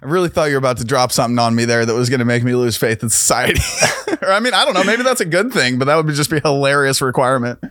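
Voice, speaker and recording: A man's voice: mid-range voice, expressive, racing, fairly bright tone, no room sound, clean studio sound. A man hosting a podcast interview, chatty and joking, laughing easily with his guest. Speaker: podcast host. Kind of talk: casual interview chat.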